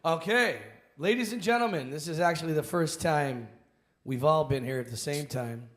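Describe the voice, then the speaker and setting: A man's voice: a little high, normal speed, a bit bright. Easygoing male band leader guest. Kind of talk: casual chat.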